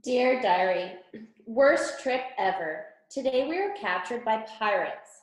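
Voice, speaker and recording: A woman's voice: a little high, animated, a little slow. A woman reading a children's storybook as a grumpy, long-suffering stuffed toy, with a sardonic, exasperated manner. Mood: miserable, sarcastic. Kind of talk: children's storybook read-aloud. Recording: echoey room.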